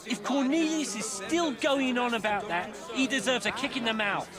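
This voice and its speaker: An actor playing a cocky, defiant Victorian street gambler in a video game cutscene. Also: male voice, extremely high pitch, normal speed, crowd noise.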